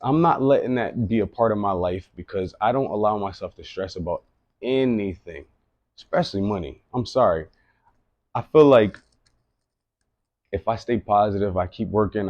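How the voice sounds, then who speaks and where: A man's voice: fairly low pitch, moderate pace, darkish tone, no room sound, lo-fi sound. A man in his late thirties giving an earnest, rambling personal monologue, often repeating himself. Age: late 30s. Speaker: vlogger.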